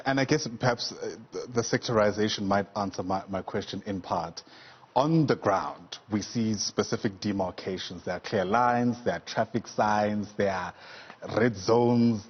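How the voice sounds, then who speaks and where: A man's voice: lowish voice, normal speed, slightly rough, dull audio. A man working as a news interviewer, putting wordy, inquisitive questions in a conversational way. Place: TV news studio.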